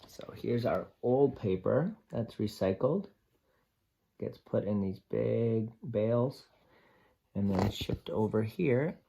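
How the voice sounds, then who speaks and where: A man with a fairly low voice presents a picture book to young children. He speaks as a teacher would, adding simple explanatory asides. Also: slow pace, gravelly, warm tone, slightly rough. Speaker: read-aloud storyteller and teacher.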